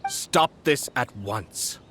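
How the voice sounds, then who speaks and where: A man playing a composed, courteous and quietly commanding young nobleman in a video game, speaking in a measured, unhurried way. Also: medium pitch, animated, a little hiss or hum. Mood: calm, firm. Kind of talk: scripted video game acting.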